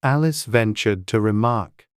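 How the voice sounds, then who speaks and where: A woman's voice: extremely low pitch, unhurried, smooth, dry recording, clean studio sound. A woman reading a scripted storybook narration as an audiobook narrator.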